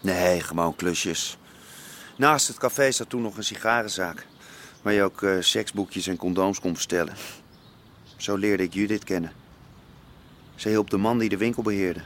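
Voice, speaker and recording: An actor playing the son of a criminal pub owner in a naturalistic radio drama, talking in a hesitant, reflective way. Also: male voice, deep voice, moderate pace, fairly bright tone, slight background noise. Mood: wistful, confiding.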